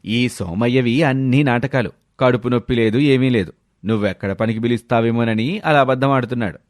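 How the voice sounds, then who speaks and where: A man's voice: mid-range voice, brisk, dry recording, studio quality, lightly acted. A man playing a hardworking village labourer, blunt and reproachful, in a storybook tale. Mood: scornful. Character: hardworking village men scolding a lazy neighbour. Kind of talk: storybook character voice.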